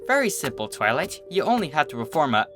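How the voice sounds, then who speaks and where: A performer voicing a serene, regal female pony princess and mentor with a gentle, stately manner in a story reading. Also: medium pitch, sing-song, quick pace, music in the background, lightly acted. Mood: kindly, composed. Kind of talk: character acting in a fan fiction reading.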